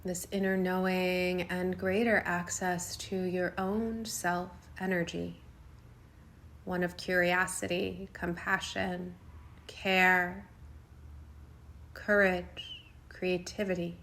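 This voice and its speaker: Very slow-speaking woman guiding meditation. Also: on the low side, flat delivery, creaky, fairly bright tone. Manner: unhurried, soothing, short phrases with long pauses. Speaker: meditation guide. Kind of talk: guided yoga nidra meditation.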